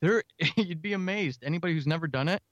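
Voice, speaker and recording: A talkative, energetic man speaking casually as a guest in an interview about his creative work. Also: on the high side, fast talker, dry recording. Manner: energetic, talkative, self-described extrovert. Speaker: audio drama writer and podcaster, interview guest.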